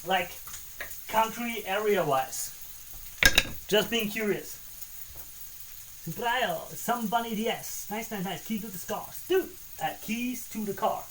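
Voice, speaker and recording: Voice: medium pitch, slow pace, pauses often, noisy background. Chatty cooking streamer. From Austria. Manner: chatty, greets and answers viewers between cooking remarks. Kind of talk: casual live-stream chat while cooking.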